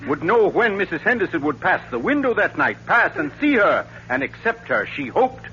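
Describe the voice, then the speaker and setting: On a dull, noisy old radio recording, a suave gentleman sleuth. His voice is bright and highish, swinging widely as he relishes each florid accusation in classic 1940s thriller style. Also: very animated, normal speed, exaggerated voice. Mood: taunting, triumphant. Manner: florid, taunting rhetorical delivery.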